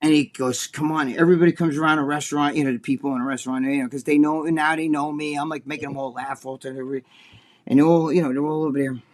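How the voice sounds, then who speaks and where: Profane, animated New Jersey man. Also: very fast. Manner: animated, profane, acts out quotes in a high voice. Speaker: podcast co-host. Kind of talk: casual storytelling chat.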